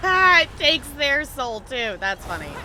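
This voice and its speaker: A woman live streamer chatting casually with her viewers while gaming, thinking aloud with halting pauses. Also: high voice, very animated, background noise.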